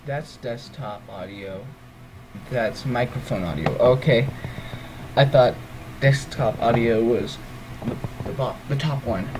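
A man's voice: medium pitch, slow pace, poor audio. A man with a groggy voice walking through a strategy game's menus, hesitant and rambling, full of ums and self-corrections. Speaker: let's play gamer. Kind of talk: casual gameplay walkthrough.

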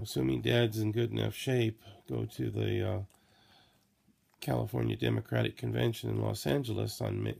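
A hoarse, tired middle-aged man rambling slowly in a late-night video diary, coughing now and then, recorded close on a laptop camera in a small room. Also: lowish voice, darkish tone. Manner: slow, tired, rambling with many fillers. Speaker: vlogger. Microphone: laptop or phone camera close to face.

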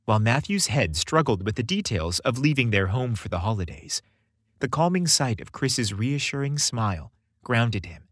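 A man's voice: medium pitch, big pitch swings, normal speed, fairly bright tone, dry recording, clean studio sound. A man reading a romantic story aloud as a scripted narration.

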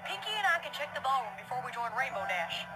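A woman voicing an earnest young female pony in an expressive fan audio drama. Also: sits high, fast. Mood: earnest, planning. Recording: loud music behind the dialogue.

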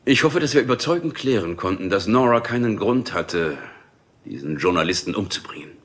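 Cold snooty boss, lowish voice, swings up and down. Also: male voice, lively intonation, brisk, fairly bright tone. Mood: contemptuous. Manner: cold, condescending. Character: haughty, contemptuous male studio boss.

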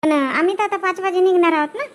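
A woman's voice: very high voice, fast talker. A woman voicing a chatty village farm labourer in a cartoon comedy.

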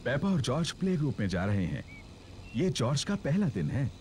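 A man giving calm, scripted narration for a children's cartoon. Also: mid-range voice, a little fast, a little hiss or hum. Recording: cartoon soundtrack with music.

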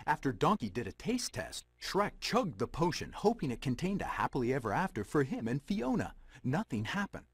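A man's voice: medium pitch, husky, no room sound, clean studio sound. A man playing a playful, dramatic storybook narrator in a scripted fairy-tale style.

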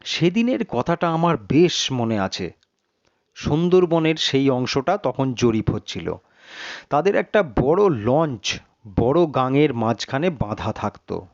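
A man reading a suspense story in a measured, literary, dramatic narration. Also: mid-range voice, very animated, dry recording, highs cut off.

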